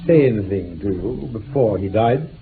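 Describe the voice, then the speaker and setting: An actor playing a stern, sceptical coroner who questions formally and pointedly in a radio drama. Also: male voice, on the low side, expressive, moderate pace. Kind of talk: 1960s British radio drama acting. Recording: tinny, band-limited old radio recording with hiss.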